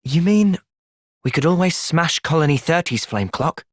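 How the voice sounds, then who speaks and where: A man with an English accent playing an earnest, thoughtful young soldier hero in a video game, speaking sincerely and with measured delivery. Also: a little high, lively intonation, dry recording, studio quality. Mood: calm, earnest. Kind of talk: video game cutscene voice acting.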